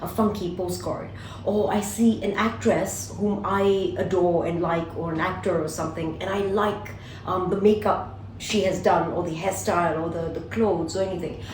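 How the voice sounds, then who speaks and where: Lady chatting in an interview, lowish voice, kinda far off in a roomy space. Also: steady tone, moderate pace, a little mellow, a little hiss or hum. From Singapore. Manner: chatty and enthusiastic, stacking long lists. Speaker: guest, collage artist. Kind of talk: casual interview answers. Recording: echoey room, voice from a distance, faint ambience.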